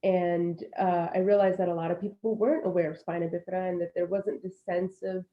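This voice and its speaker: A woman's voice: lowish voice, flat delivery, brisk, dull audio. A woman in her thirties, born in Puerto Rico and raised in Florida, speaking earnestly and reflectively as a panelist over a video call. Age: 30s. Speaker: panelist, alumna. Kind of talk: casual panel talk.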